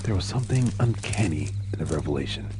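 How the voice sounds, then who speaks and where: A man narrating a dark short story in a dramatic, measured and solemn manner. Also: low-pitched, animated, noisy background. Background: music.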